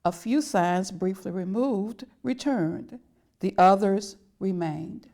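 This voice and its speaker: A woman's voice: mid-range voice, slow pace, dark tone. A woman reading a historic letter aloud as scripted text.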